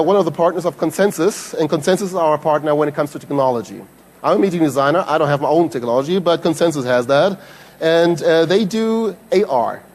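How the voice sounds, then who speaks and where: Earnest male conference host, slightly high. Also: a little mellow, slight background noise. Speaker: meeting designer, event host. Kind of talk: conference talk. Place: conference hall.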